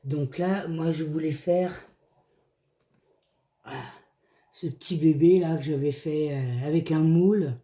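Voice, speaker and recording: A woman hoarse from a cold, chatting casually through a hands-on craft tutorial in short, unhurried phrases. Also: deep voice, dark tone. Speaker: craft video creator.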